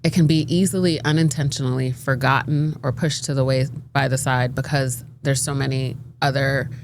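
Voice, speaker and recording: Middle-aged woman, low voice, animated and emphatic panel talker. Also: normal speed, slight background noise. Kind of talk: casual group discussion.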